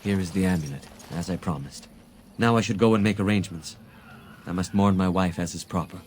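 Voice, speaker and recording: An actor playing a solemn, grieving elf husband with halting, formal speech in a video game. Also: male voice, sits low, a little mellow, slight background noise, lightly acted. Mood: grieving, subdued. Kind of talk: dramatic video game dialogue.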